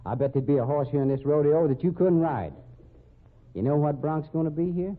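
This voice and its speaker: An actor playing a scheming male rodeo gambler in a 1940s radio drama, with a gruff, slangy drawl. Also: fairly high pitch, brisk, natural voice. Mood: smug. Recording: tinny old radio broadcast.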